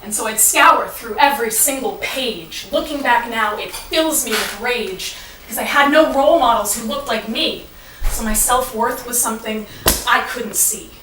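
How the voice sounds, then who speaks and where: A woman delivering a rhyming spoken-word monologue in a dramatic, deliberate storytelling manner. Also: a little high, pushed and bright. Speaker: monologue performer. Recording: echoey, noisy room from far off.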